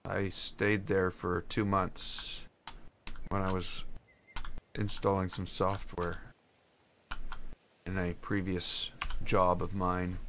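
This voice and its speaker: A man with a bright, edged tone talks through his game stream in a loose, halting way. His voice has a gravelly fry at the bottom. Keyboard typing and clicks sound behind him. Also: sits low, completely flat delivery, a little hiss or hum. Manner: rambling, halting, answers chat between tasks. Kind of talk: casual live-stream chat while building.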